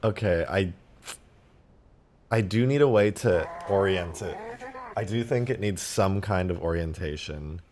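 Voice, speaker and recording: A man live-streaming while he mods a game, thinking aloud, reading chat and laughing loudly at times, his voice going a bit hoarse. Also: low voice, unhurried, pauses often, slight background noise. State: losing his voice, slightly hoarse. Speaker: modding streamer. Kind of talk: casual live-stream commentary.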